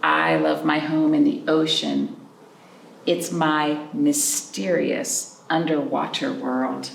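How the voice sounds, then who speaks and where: A woman hosting a library storytime, reading picture books and chatting to young children in an animated way with simple questions. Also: low-pitched, takes it slowly, gravelly. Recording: roomy, distant mic with background noise.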